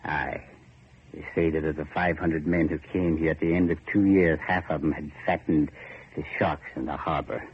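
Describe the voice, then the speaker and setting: An actor playing a grim, bitter factory overseer on an island in a vintage radio horror drama, speaking in a dour, ominous, rustic way. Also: male voice, extremely low pitch, steady tone, moderate pace, slightly rough, full character voice. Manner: dour, ominous, archaic rustic speech with "aye" and "ain't". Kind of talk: 1930s-40s radio horror drama acting. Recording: muffled, noisy old radio recording.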